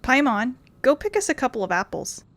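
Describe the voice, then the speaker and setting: A woman's voice: high-pitched, big pitch swings, brisk, background noise. A woman giving casual let's play commentary in offhand, wry asides. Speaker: gamer playing and commenting on a game.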